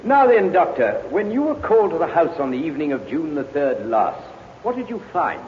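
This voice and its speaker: An actor playing a formal, probing prosecutor, courteous and theatrical, in a 1950s radio drama. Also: male voice, a little high, very animated, a little fast, slight background noise. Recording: tinny echoey old radio recording.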